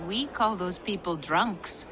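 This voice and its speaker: An actress playing a serious, dry-witted young giantess in a video game, speaking bluntly and formally. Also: female voice, fairly low pitch, takes it slowly, background noise. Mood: dry, disdainful.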